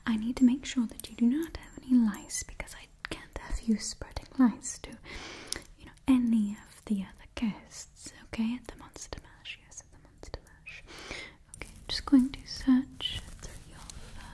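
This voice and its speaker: A woman in her twenties doing an ASMR roleplay in a soft, breathy whisper, close to the mic, soothingly repeating her phrases. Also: on the high side, moderate pace. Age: 20s. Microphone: close-up ASMR microphone.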